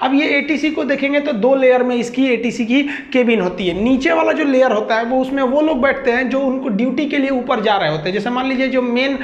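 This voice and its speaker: High-voiced Bihari woman teaching, echoey recording. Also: brisk, hardly any pauses. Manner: colloquial, repeats and rephrases with everyday examples. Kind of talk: informal classroom-style explainer lecture. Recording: echoey, dull lo-fi audio.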